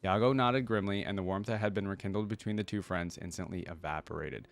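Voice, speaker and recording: A man's voice: low-pitched, quick pace, gravelly. A man reading a fantasy story aloud expressively and adding casual remarks as a reaction host.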